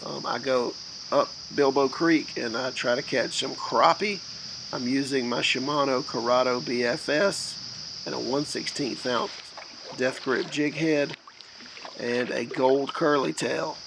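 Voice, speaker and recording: A hoarse man in his thirties, calmly narrating his fishing from a kayak on a creek. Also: mid-range voice. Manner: slow, steady explaining. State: hoarse from a cold. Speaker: kayak angler. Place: kayak on a wooded creek outdoors. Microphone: camera mounted behind him on the kayak. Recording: noisy outdoor camera audio.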